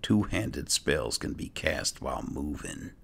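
A man's voice: a bass voice, animated, takes it slowly, gravelly, a little hiss or hum. A man narrating his roleplay gameplay, musing aloud in a hesitant mutter that often trails off. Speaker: gaming host roleplaying his character. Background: music.

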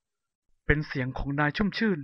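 A storyteller narrating a cartoon folk tale in a lively, expressive way. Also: racing, no echo, lo-fi sound.

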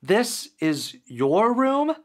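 Brief: narrator doing a terrified grieving man, tense and demanding, raised pitch, slow delivery. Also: very animated. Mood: frightened. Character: frightened grieving young man.

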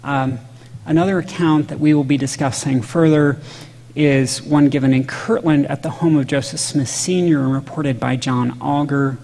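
A man's voice: on the high side, steady tone, normal speed, creaky, darkish tone. A middle-aged man giving an earnest, explanatory conference lecture at a lectern in a large hall, sometimes correcting himself mid-sentence. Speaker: conference speaker. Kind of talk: conference lecture on church history. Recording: long hall reverb.

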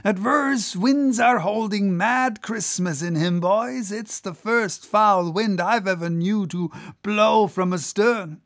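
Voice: medium pitch, slow, few pauses, a bit bright, no echo, studio quality, pitched above the performer's own voice. An audiobook reader voicing a boisterous, joking whaleboat mate who goads the crew in salty, exclamatory talk. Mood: jocular. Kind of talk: animated audiobook character voice.

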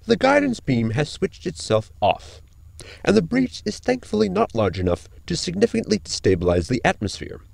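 A man's voice: on the high side, huge pitch swings, normal speed, gravelly, darkish tone, no echo, exaggerated voice. A man playing a precise, unflappable robotic crew member in a sci-fi comedy audio drama, speaking formally and exactly. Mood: matter-of-fact. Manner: formal, clipped, exact wording.

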